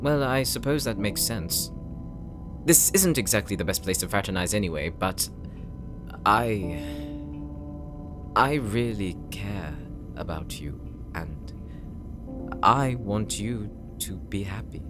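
An earnest young knight, acted by a man in an intimate audio roleplay. His lowish voice moves through lively rises and dips as he confides in a gentle, hesitant, insecure way. Music plays behind him. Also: slow pace, long pauses. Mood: tender, hesitant.

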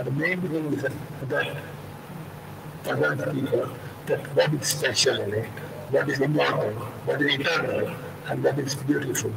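An older man from India with a Gujarati-influenced accent, reading a prepared talk on a video call in a slow, halting way. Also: medium pitch, gravelly, echoey room, far from the mic, very noisy. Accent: Gujarati-influenced English. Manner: slow and halting, with many uh pauses. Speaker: sculptor and panel speaker. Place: room at home, indoors. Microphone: laptop mic on a video call.

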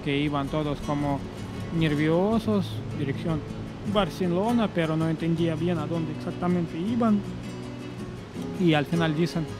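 Slow-talking man telling a travel story. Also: a little high, rough recording. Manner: unhurried, pausing often with fillers and self-corrections. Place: outdoor terrace above a beach. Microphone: stand mic in front of him. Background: music playing behind.

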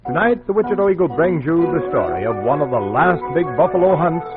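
A man's voice: fairly high pitch, lively intonation, a little fast, music in the background. An American man announcing a scripted 1940s radio program in a formal, declamatory way. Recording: tinny vintage 1940s radio broadcast.

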